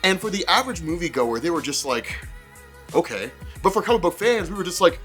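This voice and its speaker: A man's voice: high voice, very animated, a little fast, fairly bright tone, faint music behind. A man with a congested, cold-affected voice giving casual, rambling fan commentary as a video host, repeating himself and peppering his talk with "like". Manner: rambling, conversational, repeats phrases and says like often. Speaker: comics explainer video host.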